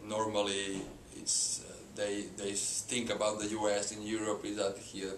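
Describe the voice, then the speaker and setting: A middle-aged man from Spain with a Spanish accent, a company president answering interview questions haltingly with many filler sounds and restarts. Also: on the low side, completely flat delivery. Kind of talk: hesitant unscripted interview answers. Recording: echoey room with background hiss.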